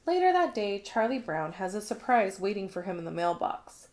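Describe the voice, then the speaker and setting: A woman narrating a children's picture book in an expressive read-along style. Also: mid-range voice, moderate pace. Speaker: storybook reader.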